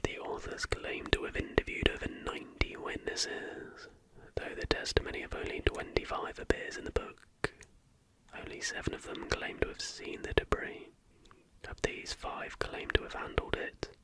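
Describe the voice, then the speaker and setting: An ASMR host narrating in a breathy, unvoiced whisper with a hushed, measured delivery. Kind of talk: ASMR reading of conspiracy history, scripted narration with brief asides.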